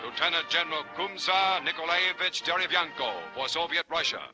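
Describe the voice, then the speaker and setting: A man's voice: fairly high pitch, brisk. An American man narrating a 1940s newsreel in a formal, dramatic, declamatory announcing style, on an old soundtrack. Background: loud music.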